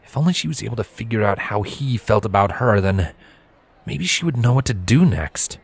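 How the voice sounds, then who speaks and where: Guy narrating a storybook, lowish voice, really dry close sound. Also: animated, normal speed, vocal fry, breathy edge, dry recording. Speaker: narrator, fan fiction reader.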